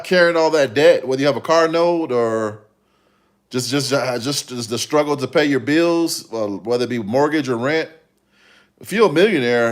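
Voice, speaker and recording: A man in his fifties talks casually to his livestream viewers. His voice sits at an average male pitch. He rambles along, circling back and repeating his phrases as he replies to the chat. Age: 50s. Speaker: livestream host.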